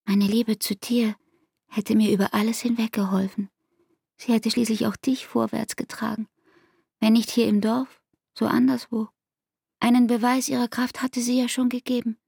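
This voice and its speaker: An actress playing an anguished, devoted young barmaid in an intimate radio play, with speech and a breathy, half-whispered, intense delivery. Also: female voice, on the high side, level tone, moderate pace, darkish tone, no echo, studio quality. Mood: tender, anguished.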